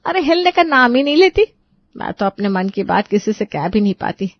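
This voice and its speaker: A woman's voice: mid-range voice, very animated, fairly quick, no room sound, lo-fi sound. A woman voicing a gossipy, dramatic older mother-in-law type in an acted audio story. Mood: exasperated. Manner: complaining, dramatic. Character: exasperated, gossipy older Indian mother-in-law and her aunty friend.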